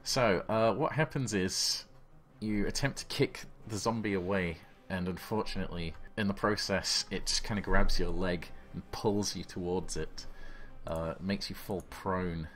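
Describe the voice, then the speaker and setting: A man's voice: low voice, fairly even intonation, a little slow, a bit bright. A man working as game master, narrating an improvised horror role-playing game in a descriptive, conversational way.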